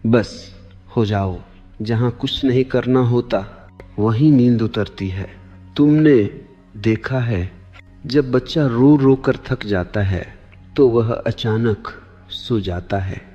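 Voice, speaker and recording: A man giving a meditative spiritual discourse for sleep, deliberate, in short measured phrases that often repeat. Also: mid-range voice, warm tone. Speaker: spiritual teacher. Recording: long hall reverb, dull lo-fi sound. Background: clear background music.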